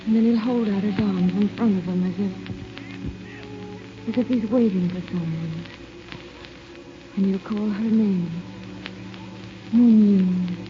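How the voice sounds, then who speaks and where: A woman acts a tender young woman in a vintage radio romance. She sounds earnest, anxious and a little hesitant, in a medium-pitched voice. Music plays clearly behind the dull recording. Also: lightly acted. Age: young adult. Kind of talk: 1930s-40s radio drama acting. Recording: dull old radio broadcast.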